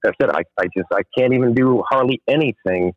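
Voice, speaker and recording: A hoarse man calling in to a radio show, talking in a worried, halting way with many restarts. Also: mid-range voice, no echo. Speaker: caller. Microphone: phone line. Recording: muffled phone-in line.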